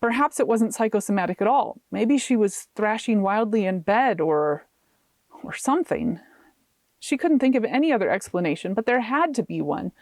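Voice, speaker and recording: A woman author reading her own fiction aloud as an expressive audiobook narrator. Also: mid-range voice, normal speed, no echo.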